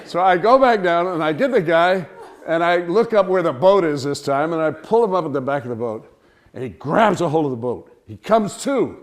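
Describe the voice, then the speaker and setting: A man telling a humorous personal anecdote to listeners in an animated, theatrical way. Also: on the high side, moderate pace. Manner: animated, theatrical storytelling with acted-out cries and dialogue. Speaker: storyteller, speaker to an audience.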